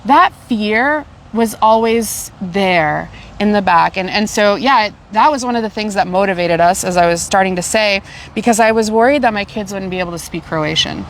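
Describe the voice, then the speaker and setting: A woman's voice: mid-range voice, moderate pace, hardly any pauses, slight background noise. A middle-aged woman from Canada, hosting a casual podcast chat. She talks animatedly and repeats words while she searches for them. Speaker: podcast host.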